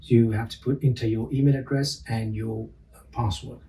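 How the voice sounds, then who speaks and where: Mellow man explaining steps, distant. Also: mid-range voice, fairly even intonation, normal speed. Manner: plain step-by-step explaining. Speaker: police recruitment officer. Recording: distant mic with faint noise.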